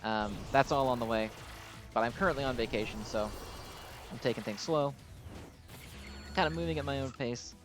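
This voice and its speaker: A man's voice: mid-range voice, moderate pace, pauses often. A man giving casual, unscripted game commentary as a channel host, rambling and often hedging his opinions. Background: music.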